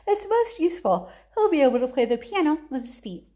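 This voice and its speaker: A woman voicing an eccentric, excitable male chocolate-factory owner in a children's storybook, with polite, breezy, dismissive patter. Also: high voice, fairly quick, pitched above the performer's own voice. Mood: brisk, dismissive.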